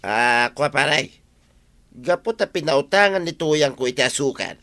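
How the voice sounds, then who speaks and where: A man's voice: medium pitch, animated, unhurried, sharp tone. A man with an Ilocano accent playing a folksy, chatty village husband in a radio comedy drama. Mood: confiding.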